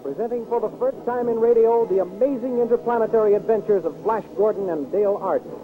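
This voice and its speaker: A man announcing in brisk, dramatic vintage radio style. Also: high voice, close to an everyday voice. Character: male 1930s radio serial announcer. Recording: tinny old radio broadcast with music.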